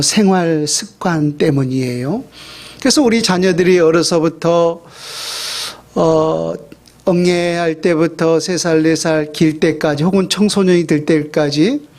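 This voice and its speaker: A middle-aged man gives a sermon as a pastor, in a relaxed, conversational tone. His voice sits a little on the high side for a man. He drops in small fillers and draws out the words he wants to stress. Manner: conversational, with fillers and slow emphatic phrases.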